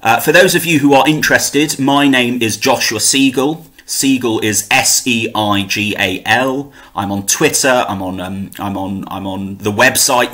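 A young man with a bright, forward voice at a middling pitch. He speaks as a poet presenting to camera, animated and emphatic, in his native English. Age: young adult. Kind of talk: informal promotional talk to camera with poem recitation. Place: small room at home, indoors. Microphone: webcam at desk distance.

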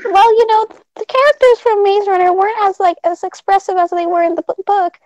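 A woman's voice: very high-pitched, steady tone, brisk, a little mellow, no echo. A woman improvising a comedic fan dub, giggly and exclamatory in a goofy way. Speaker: amateur fandub performer. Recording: music behind the voice. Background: game cutscene music.

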